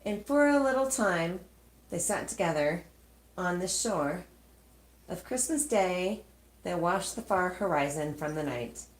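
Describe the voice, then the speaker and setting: Woman reading a kids rhyming storybook, slow n very expressive, dramatic pauses, echoey room. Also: lowish voice, a little hiss or hum. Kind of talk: expressive read-aloud of a rhyming children's storybook.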